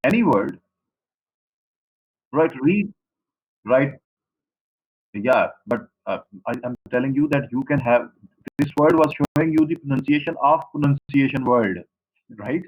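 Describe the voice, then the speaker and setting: A man's voice: medium pitch, expressive, unhurried, long pauses, dull audio. A man from Pakistan, a native Saraiki speaker with a Pakistani accent, giving an online class lecture to students and repeating his points as he explains. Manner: repetitive, explanatory, addresses students directly. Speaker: university lecturer.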